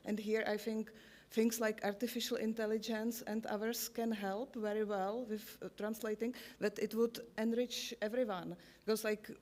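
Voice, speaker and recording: A woman's voice: mid-range voice, little pitch movement, normal speed, darkish tone. A woman answering as a panelist in a discussion, hesitant and searching for words.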